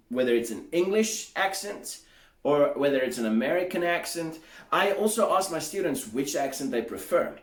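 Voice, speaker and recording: Man, english teacher, measured lesson talk, demonstrates words aloud, roomy echo. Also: a little high. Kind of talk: solo teaching monologue.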